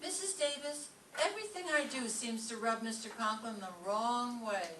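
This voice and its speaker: A woman playing a put-upon English teacher, delivering deadpan wisecracks in an old-time radio comedy. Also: on the high side, normal speed, lightly acted. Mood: wry. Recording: noisy echoey stage, distant mic.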